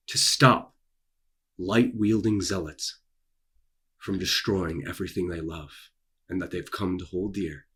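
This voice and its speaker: A young man with a fairly low, husky voice talks in a casual vlog style. His delivery is theatrical, leaning on repeated words and dramatic pauses for emphasis. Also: a bit bright. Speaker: author and video creator. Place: small dark room indoors.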